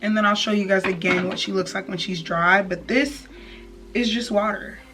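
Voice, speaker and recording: Young woman, medium pitch, casual tutorial chat, playful slangy manner, slightly distant mic, music behind. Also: fairly even intonation, moderate pace. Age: young adult. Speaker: beauty vlogger. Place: indoor room, plain wall backdrop.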